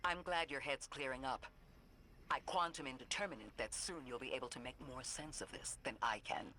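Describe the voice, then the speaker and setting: A voice actor playing a resolute mentor and leader who speaks firmly. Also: male voice, mid-range voice, brisk, bright, forceful tone, a little hiss or hum, close to an everyday voice.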